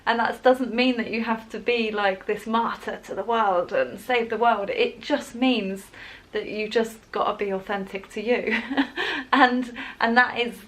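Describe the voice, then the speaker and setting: Woman vlogger, rambling and chatty, frequent laughs, slightly high voice, camera mic. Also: fairly even intonation, unhurried, fairly bright tone. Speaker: wellbeing vlogger. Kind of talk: casual talk to camera. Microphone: camera in front, leaning closer at times.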